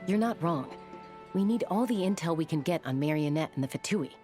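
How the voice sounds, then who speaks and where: A woman's voice: low voice, expressive, brisk, dark tone, faint music behind. An actress playing a practical, protective woman leader in a video game, serious and cautious. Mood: concerned.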